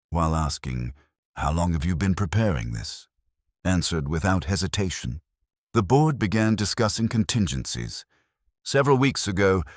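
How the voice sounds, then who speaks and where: A man with a very low voice narrates a scripted story. His delivery is measured and formal, yet the pitch moves up and down in lively fashion. The sound is dry and close, with little room in it. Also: dry recording.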